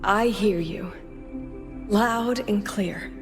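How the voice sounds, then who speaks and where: An actress playing a calm, tender academy professor and president, speaking in a measured, gentle way in a video game. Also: female voice, mid-range voice, music in the background.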